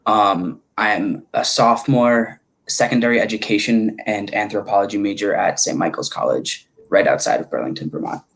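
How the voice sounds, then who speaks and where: A young man of twenty from Connecticut, a college student on an online panel. He talks in a casual, loose way with a lot of "like". His voice sits low. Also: moderate pace, gravelly, a bit bright. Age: 20s. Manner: casual, heavy use of "like". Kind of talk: casual chat.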